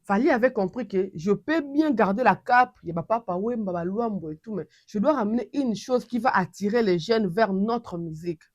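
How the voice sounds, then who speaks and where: Animated Congolese woman commentator, dry sound. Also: mid-range voice, moderate pace, hardly any pauses, no room sound, dull audio. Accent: Congolese French. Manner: animated, many restarts, mixes French and Lingala. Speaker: channel host and commentator. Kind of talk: casual commentary to camera.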